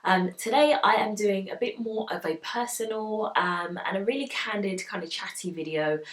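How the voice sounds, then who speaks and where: A woman's voice: mid-range voice, moderate pace. A young woman from Uganda, raised in the UK, talking casually and candidly to camera in a chatty vlog, with lots of ums. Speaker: style vlogger. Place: room at home lit by studio lights. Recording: roomy, slightly distant mic.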